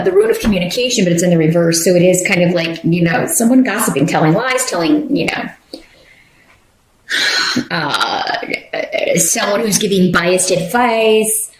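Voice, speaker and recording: A woman's voice: on the low side, animated, moderate pace, reverberant, a little hiss or hum, poor audio. A woman giving a casual tarot reading, rambling with many fillers and restarts. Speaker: tarot reader.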